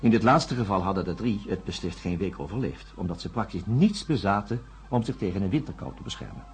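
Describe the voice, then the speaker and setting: A man narrating a science fiction radio drama in a deliberate, reflective storytelling manner with rhetorical pauses. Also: lowish voice, a little mellow. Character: male narrator recounting an astronauts' disaster, measured and reflective.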